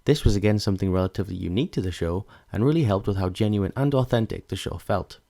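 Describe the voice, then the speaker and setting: A man's voice: on the low side, quick pace, vocal fry, dark tone. A man reading scripted narration as the narrator of a video essay.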